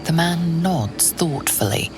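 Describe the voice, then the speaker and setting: An actress playing a composed narrator in a fantasy video game, delivering scripted lines in a measured storybook manner. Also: female voice, low-pitched, lively intonation, over music. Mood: dryly descriptive.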